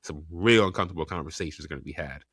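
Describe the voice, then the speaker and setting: A man's voice: rumbling low, rapid-fire, a bit bright, no room sound, clean studio sound. A man hosting a solo sports podcast, congested and under the weather, giving opinionated takes and thinking aloud with frequent restarts. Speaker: podcast host.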